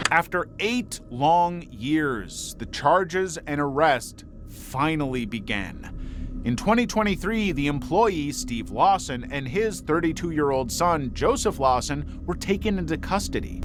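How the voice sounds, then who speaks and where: Man, true-crime documentary narrator, scripted read, slightly high pitch, lively intonation, music underneath.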